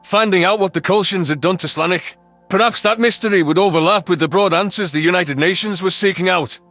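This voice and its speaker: A man's voice: high voice, fairly quick, fairly bright tone, faint music behind. A man playing a thoughtful alien soldier who narrates his own story in a scripted sci-fi audio drama. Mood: reflective, serious.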